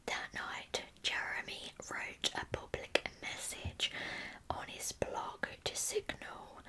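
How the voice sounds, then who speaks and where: A woman speaks entirely in a breathy whisper, very bright and sharp in tone. She takes her time, hosting whispered ASMR storytelling with a soft, deliberate delivery that slips into chatty asides. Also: poor audio. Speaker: ASMR true crime host. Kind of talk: whispered ASMR storytelling of a true crime case.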